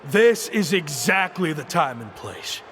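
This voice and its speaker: High-pitched, defiant young wrestler. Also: male voice, very animated, a little slow, fairly bright tone, soft background music. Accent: American. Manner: confrontational, emphatic. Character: defiant, cocky young male wrestler with a grievance. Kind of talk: wrestling promo acting.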